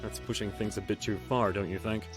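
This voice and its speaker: An actor voicing a smug, manipulative government official in a visual novel, cool, dismissive and sardonic. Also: male voice, lowish voice, fairly even intonation, fairly quick, natural voice. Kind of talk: English visual novel voice acting. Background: music and instruments.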